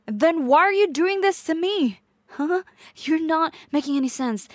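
A woman's voice: on the high side, warm tone, breathy edge, no room sound. A woman playing a possessive, volatile, manipulative girlfriend in an intense audio roleplay, addressing the listener directly. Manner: intense, swearing, swinging between pleading and menace.

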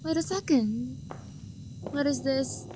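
A woman's voice: high voice, lively intonation, slow pace, noisy background. A young woman acting an obsessive, possessive lover who hunts for the one she adores, her voice sweet and menacing and often sinking to a whisper. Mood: teasing, hunting. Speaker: voice actor. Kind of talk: audio roleplay acting.

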